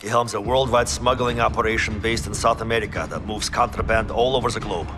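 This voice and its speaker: Actor, male Russian military commander, Russian accent, low pitch, grave, formal game briefing, loud music underneath. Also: fairly even intonation, natural voice. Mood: serious, briefing. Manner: measured, serious, formal. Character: grave Russian military sergeant, adult man, dutiful commander.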